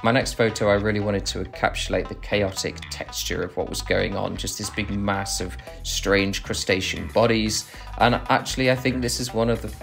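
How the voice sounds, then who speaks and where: A man's voice: low voice, creaky. A man giving a reflective voice-over narration for a nature photography vlog.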